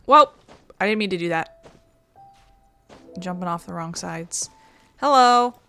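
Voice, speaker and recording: A woman's voice: on the low side, expressive, slow pace, sharp tone, smooth, faint music behind. A woman giving casual, playful gameplay commentary, thinking aloud as she goes. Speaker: video game streamer.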